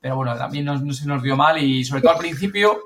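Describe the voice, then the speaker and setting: A man's voice: medium pitch, fast, fairly bright tone, slight background noise. A man with an accent from Spain chatting casually and colloquially on a podcast. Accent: Spanish from Spain. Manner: colloquial, mildly sweary. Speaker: podcast speaker recounting an event. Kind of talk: casual chat.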